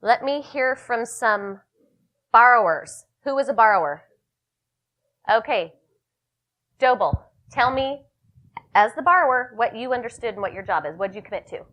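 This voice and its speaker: Female workshop trainer, bright upbeat coaching delivery, a touch higher voice, live seminar feel. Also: expressive, moderate pace, long pauses, highs cut off. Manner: upbeat, coaching, calls on participants. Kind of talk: live workshop talk to a room.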